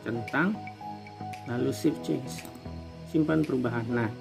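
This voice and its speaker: A man's voice: mid-range voice, a little slow, vocal fry. An Indonesian man, a university tutor, explaining on-screen steps informally one by one in a dull lo-fi phone recording. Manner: explanatory, often checks along with "ya" and "nah". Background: music.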